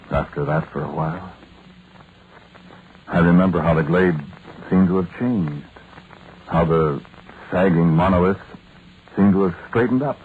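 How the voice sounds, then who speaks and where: Deep-voiced solemn radio storyteller, a man acting. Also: close to an everyday voice. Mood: hushed, wondering. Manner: measured, deliberate, pausing mid-sentence. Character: modern soldier narrator, solemn, haunted storyteller. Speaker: narrator and lead character. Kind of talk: dramatic first-person radio storytelling. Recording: tinny, noisy vintage radio recording.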